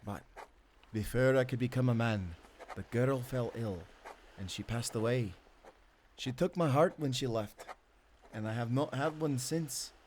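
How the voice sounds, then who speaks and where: A man's voice: mid-range voice, a little slow, long pauses, darkish tone, a little hiss or hum, natural voice, rough recording. A man playing an affectionate Scottish sailor husband, telling stories in a tender, playful and intimate way. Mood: thoughtful storytelling. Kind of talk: intimate roleplay storytelling.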